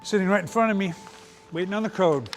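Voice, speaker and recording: A man's voice: sits high, quick pace, soft background music. A man in his fifties playing a wry, easygoing veteran agent at a script read. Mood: gentle, understated.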